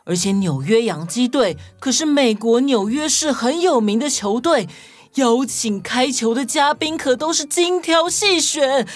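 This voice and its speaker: A woman's voice: high-pitched, lively intonation, a little slow. A woman playing a sensible, thrifty, grumbling detective in a children's audio story, with a dry, exasperated manner. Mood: astonished, eager.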